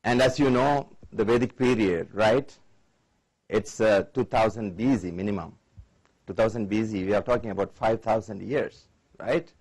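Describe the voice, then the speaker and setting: Indian-accented man giving a lively lecture. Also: fairly low pitch, moderate pace, slightly rough, rough recording. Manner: lively, engaging the audience with questions. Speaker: Ayurvedic doctor, guest lecturer. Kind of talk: conference lecture.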